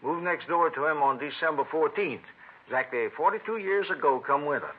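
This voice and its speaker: An actor playing a rambling, querulous old father in a vintage radio crime drama, folksy and abrupt with a husky voice. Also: a little high, expressive, fairly quick, slight background noise, natural voice. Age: older. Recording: tinny vintage radio recording.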